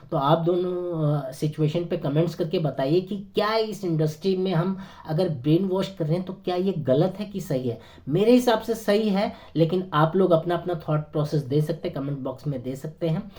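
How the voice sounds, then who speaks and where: A hoarse man with a sore throat, talking earnestly to camera as a network marketing consultant, persuading with many hesitant fillers. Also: high voice, mellow. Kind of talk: persuasive motivational talk to camera.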